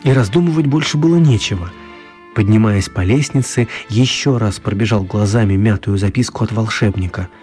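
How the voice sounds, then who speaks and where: A man's voice: fairly low pitch, brisk, a little mellow, close to an everyday voice. An actor narrating an audio drama as a writer telling his own magical tale. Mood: storytelling. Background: music.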